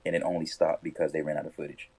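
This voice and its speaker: A man in his early thirties talks quickly and with excitement, full of slang, in a casual podcast chat. His voice sits low and has a husky, slightly breathy edge. He co-hosts the show. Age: 30s.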